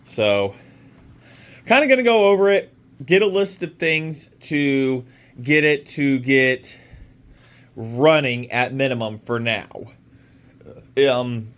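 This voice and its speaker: A man's voice: medium pitch, expressive, slow pace, pauses often, a bit bright. A man with a sore throat, his voice a little off, rambling casually in a home garage as he walks through an old motorcycle. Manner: rambling, with many fillers and restarts. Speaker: motorcycle restoration vlogger. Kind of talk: casual walkaround vlog.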